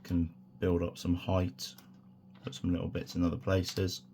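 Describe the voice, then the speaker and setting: A man with a croaky voice from a sore throat, talking through a hobby tutorial in a relaxed, rambling way with many fillers. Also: low voice, a little slow, a little hiss or hum. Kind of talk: casual hands-on tutorial.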